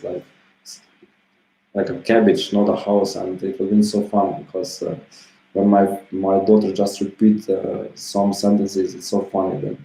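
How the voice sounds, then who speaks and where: A man in his thirties talks in a loose, meandering way, full of little filler phrases. His voice sits low and sounds warm and relaxed. The room around him is echoey, so his words ring a little. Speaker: self-help vlogger. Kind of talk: casual motivational monologue. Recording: roomy echo.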